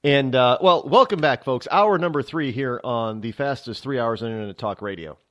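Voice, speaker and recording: A man's voice: medium pitch, normal speed. A man hosting a talk radio show, talking in a rambling, boastful way with lots of fillers.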